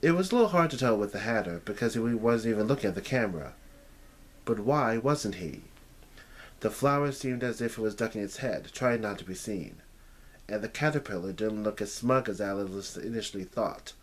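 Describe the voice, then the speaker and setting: A man's voice: lowish voice, lively intonation, fairly quick. A man narrating a story as if for an audiobook, occasionally stumbling and repeating phrases as he reads.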